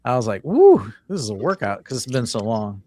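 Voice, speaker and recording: A man's voice: medium pitch, huge pitch swings, fairly quick, darkish tone, no room sound. A man hosting a casual live-stream chat, reading viewer comments aloud and chatting in an easygoing way. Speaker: host. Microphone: home video-call setup.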